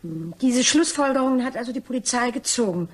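A woman's voice: fairly high pitch, animated, fairly quick, breathy edge. A woman playing a nervous, evasive mademoiselle with guilty secrets in a German crime radio play, in a jumpy, theatrical and probing way. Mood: tense questioning.

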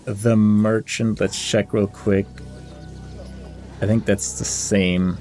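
Male gamer, lowish voice, casual think-aloud commentary over clear background music. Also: flat delivery, slow, darkish tone. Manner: mutters through options, reading game text aloud. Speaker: gamer hosting a playthrough. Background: game music.

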